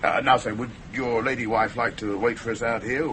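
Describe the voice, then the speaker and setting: An actor playing a pushy, jovial middle-aged film producer in a radio play. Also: male voice, medium pitch, steady tone, normal speed, fairly bright tone, lightly acted. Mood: flustered. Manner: overbearing, jovial, wheedling. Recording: noisy radio drama recording.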